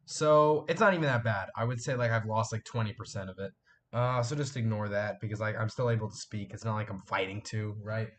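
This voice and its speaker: An eighteen-year-old man hosting a casual live stream from a room at home, hoarse and congested from a cold, rambling and repeating himself as he chats with viewers. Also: on the low side, brisk, fairly bright tone, slight background noise. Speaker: live stream host and fan film maker.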